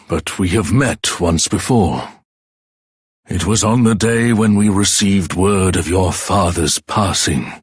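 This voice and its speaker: A man's voice: medium pitch, pushed and bright, husky, dry recording, clean studio sound, full character voice. An actor playing a solemn, pompous king in a fantasy game, with a stately, declamatory delivery. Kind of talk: theatrical fantasy game voice acting.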